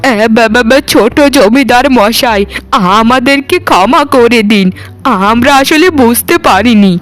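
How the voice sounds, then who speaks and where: Woman playing a shrill-pitched, vain young village daughter. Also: measured pace, close to an everyday voice. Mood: pleading. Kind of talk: Bengali cartoon voice acting.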